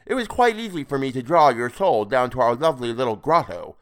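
A man's voice: mid-range voice, big pitch swings, brisk, pitched above the performer's own voice, lightly acted. A man voicing a flabby, sinister toad-faced sea creature in an audiobook, mocking, gloating and theatrical. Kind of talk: dramatised audiobook character voice.

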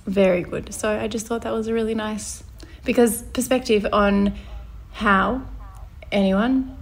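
A woman's voice: medium pitch, steady tone, measured pace, gravelly, slight background noise. A young woman co-hosting a podcast in casual chat, talking chattily, laughing often and restarting her sentences. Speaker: podcast co-host.

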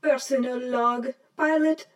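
A woman playing a formal mission-log assistant in an audio drama, making measured, procedural announcements. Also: high voice, steady tone, distant mic, very noisy. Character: formal female mission-log assistant of a time-travel force.

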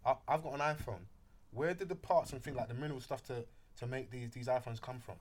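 Fast-talking man. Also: mid-range voice. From Britain. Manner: slangy, animated storyteller. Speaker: podcast host. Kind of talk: casual podcast chat.

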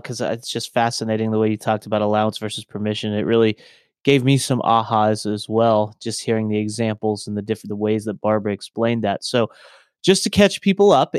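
A man's voice: medium pitch, clean tone, no echo, clean studio sound. A man co-hosting a podcast, upbeat as he reads through the guest's credentials. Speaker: podcast co-host. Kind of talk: podcast introduction.

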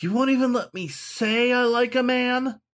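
A man's voice: very high-pitched, moderate pace, fairly bright tone, voice pushed up. A man voicing an anxious young male dog student in a hesitant, plaintive manner. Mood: exasperated.

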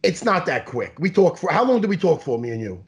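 Excitable joking man in his forties. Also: a little high, a little fast. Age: 40s. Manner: loud, joking, teasing, swings up into shouts. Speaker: host. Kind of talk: casual video-call interview.

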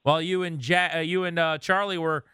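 A man co-hosts a sports show with conversational commentary. His voice is bright and crisp at a medium pitch, picked up dry on a close microphone. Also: fairly even intonation, brisk, dry recording.

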